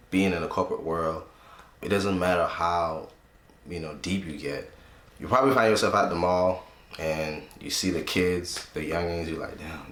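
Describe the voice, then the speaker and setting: A young man in his twenties with a very low, deep voice talks in a loose, reflective way. He often checks in with "right?" as he thinks aloud. His voice rings a little in a roomy home studio. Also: a bit bright. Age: 20s. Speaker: vlogger. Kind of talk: reflective casual monologue. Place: room he uses as a home studio.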